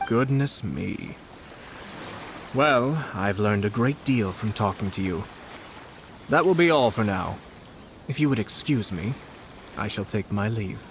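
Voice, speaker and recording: A composed noble commissioner in a game cutscene, low and unhurried. He weighs every word with courteous calculation. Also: animated, background noise. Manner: measured, polite and calculating. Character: calm, scheming young male noble commissioner. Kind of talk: video game cutscene dialogue.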